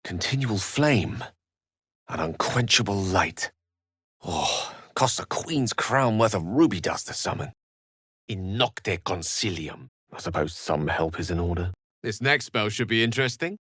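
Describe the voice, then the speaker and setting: Scholarly video game wizard, lowish pitch, very dry close recording, articulate, theatrical, wry. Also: male voice, lively intonation, a little slow, fairly bright tone, slightly rough, no room sound, heavily acted. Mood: dramatic incantation, then wry, conversational. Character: eloquent, bookish, wry human male wizard. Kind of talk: scripted video game character dialogue.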